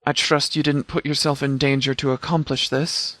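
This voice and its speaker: Game role: male actor as a composed department commander, dry and precise, mid-pitched male voice, close dry sound. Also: dry recording, close to an everyday voice. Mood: dry, assured. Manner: measured, formal, precise, deadpan. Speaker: game character, law officer. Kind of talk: video game dialogue voice lines.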